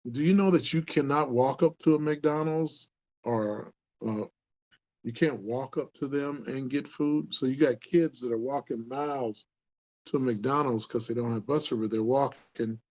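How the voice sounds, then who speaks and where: A man's voice: medium pitch, normal speed, a little mellow. Earnest older community leader, a man in his sixties with an Upper Midwest accent, talking loosely and repeating points. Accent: Upper Midwest American. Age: 60s. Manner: earnest, rambling, repeats himself for emphasis. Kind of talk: casual talk to camera.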